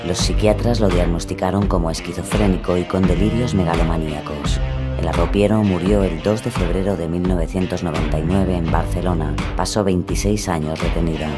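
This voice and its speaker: A deep-voiced man reads scripted documentary narration. Loud music plays behind his words. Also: fairly even intonation, brisk.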